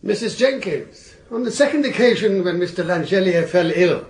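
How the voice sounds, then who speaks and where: Theatrical defence lawyer guy, high voice, very animated pitch, pointed n courteous, radio drama. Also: moderate pace. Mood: probing, rhetorical. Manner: rhetorical, courteous but pointed. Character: eloquent, theatrical male defence barrister, shrewd and persuasive.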